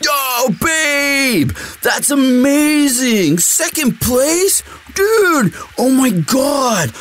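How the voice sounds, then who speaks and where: A man's voice: very high voice, big pitch swings, drawn-out words, fairly bright tone, shouting, heavily acted. A young man playing a sweet, dim, eager athlete boyfriend in a casual, intimate audio roleplay, with a slangy, drawling delivery. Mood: excited, proud.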